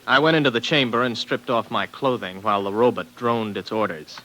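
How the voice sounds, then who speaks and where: A man's voice: mid-range voice, steady tone, a little fast, pushed and bright, a little hiss or hum, close to an everyday voice, rough recording. A man playing an impatient, weary space flight officer in a 1950s radio drama. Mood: weary narration.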